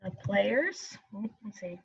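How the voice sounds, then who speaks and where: A woman's voice: mid-range voice, animated, takes it slowly, vocal fry, a bit bright, husky. A woman teaching young pupils, reading aloud and giving reassuring instructions with frequent checking questions, on a slightly muffled recording. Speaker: elementary school teacher.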